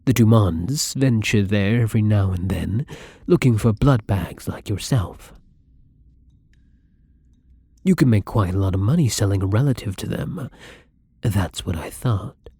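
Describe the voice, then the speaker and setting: A man playing a newly turned young vampire in an intimate ASMR roleplay, apologetic and hungry, speaking softly and hesitantly with a husky, breathy voice that often drops to a whisper. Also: fairly low pitch, expressive, moderate pace, dry recording. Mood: subdued, wistful.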